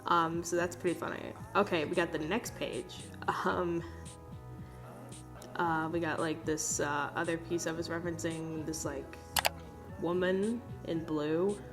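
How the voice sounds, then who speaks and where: A woman's voice: sits low, steady tone, unhurried, music in the background. A young woman with a stuffed-up, nasal voice, rambling casually over her art footage with lots of fillers and swearing. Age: young adult. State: congested, nasal. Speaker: art vlogger. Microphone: phone.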